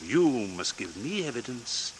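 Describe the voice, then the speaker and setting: A man playing a cold, murderous father in an old radio drama, giving measured, commanding threats. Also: medium pitch, sing-song. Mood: quiet menace. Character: cold, resourceful murderous father coolly blackmailing at gunpoint. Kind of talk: 1940s radio acting. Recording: noisy vintage radio drama recording.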